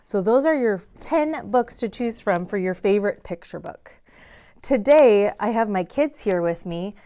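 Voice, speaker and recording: A woman's voice: medium pitch, animated, a little slow, mellow. A woman hosting a story time in a library, reading a picture book aloud to children with dramatic emphasis on key words. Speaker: read-aloud host in a library.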